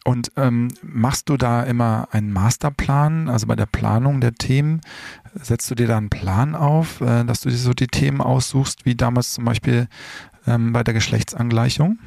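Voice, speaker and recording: A man's voice: mid-range voice, normal speed, gravelly, a little mellow, no echo. A man hosting an interview who asks questions with frequent hesitations and fillers. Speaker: interviewer, host.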